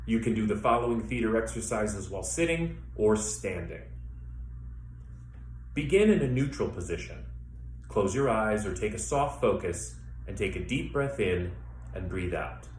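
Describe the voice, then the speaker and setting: A man working as a theater educator, guiding listeners through an exercise with measured, step-by-step instructions and pauses. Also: lowish voice, lively intonation. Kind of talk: instructional demonstration, guided exercise. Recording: echoey room with faint background noise.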